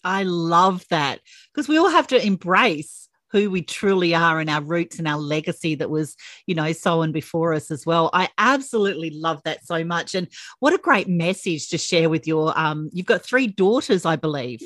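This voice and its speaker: Effusive woman podcast host, low-pitched. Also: animated, normal speed, a bit bright, no room sound, clean studio sound. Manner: effusive, encouraging, affirming. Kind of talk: interview hosting.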